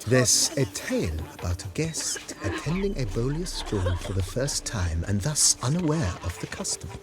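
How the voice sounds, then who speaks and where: An actor playing a courteous, proud majordomo in a video game, giving a guided tour in formal, precise, politely ornate speech. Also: male voice, medium pitch, big pitch swings, normal speed, lightly acted. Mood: storytelling, wistful. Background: music.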